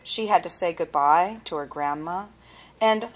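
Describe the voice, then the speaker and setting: A woman reading a children's story aloud with deliberate, expressive phrasing and dramatic pauses. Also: lowish voice. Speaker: storybook reader.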